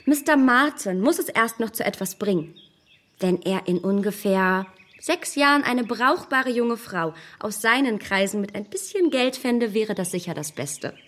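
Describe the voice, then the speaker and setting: An actress playing a proud, snobbish young gentlewoman in a radio play, speaking in a poised, condescending and emphatic way. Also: mid-range voice, animated, unhurried. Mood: haughty, admonishing.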